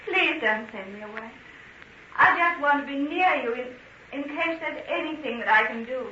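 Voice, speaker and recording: A woman's voice: sits high, expressive, moderate pace, pushed and bright. An actress playing a genteel, devoted young lady, earnest and hesitant, in an old-time radio drama. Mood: pleading, alarmed. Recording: dull, noisy old radio recording.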